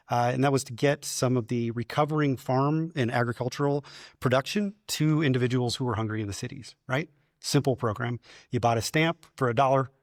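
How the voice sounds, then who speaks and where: A man who manages a public program, presenting to a community audience in a clear, explanatory way. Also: mid-range voice, dry recording, clean studio sound. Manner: explanatory, self-described loud voice. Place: community meeting room.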